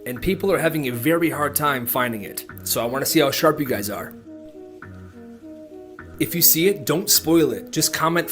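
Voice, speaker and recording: A young American man telling stories casually and comically in slangy, animated speech for social media. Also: on the high side. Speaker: short-video storyteller.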